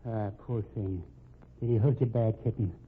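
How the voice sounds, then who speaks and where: A man's voice: lowish voice, steady tone, moderate pace, slight background noise, lightly acted. An actor playing a kindly, chivalrous Irish policeman with an Irish accent in an old radio crime drama, folksy and soothing. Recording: muffled narrow-band vintage radio recording.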